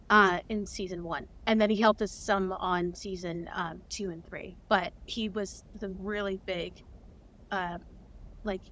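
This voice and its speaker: Female guest, creator, middle pitch, enthusiastic and chatty. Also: a bit bright, a little hiss or hum. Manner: enthusiastic, frequent ums. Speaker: guest, audio drama co-creator and sound designer. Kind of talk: casual interview answer.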